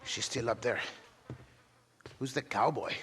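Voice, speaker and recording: An actor playing a wary rebel lookout in a western video game. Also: male voice, mid-range voice, unhurried, fairly bright tone. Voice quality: husky.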